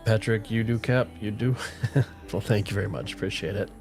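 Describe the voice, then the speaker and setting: A middle-aged man chatting casually with viewers on a game livestream, relaxed and rambling, with a soothing voice. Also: lowish voice, fairly quick. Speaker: streamer. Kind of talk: casual livestream chat while gaming. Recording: faint music playing underneath.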